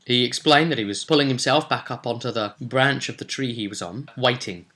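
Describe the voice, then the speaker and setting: A man's voice: medium pitch, brisk, sharp tone. A man recapping a tabletop roleplay session as game master, telling it in a rambling, casual way with bits of acted dialogue.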